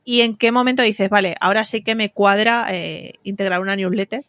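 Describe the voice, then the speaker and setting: A woman's voice: mid-range voice, fairly even intonation, fairly quick, fairly bright tone, no room sound. A woman with an accent from Spain hosting a podcast, introducing and interviewing in a friendly style. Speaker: podcast host interviewing a guest.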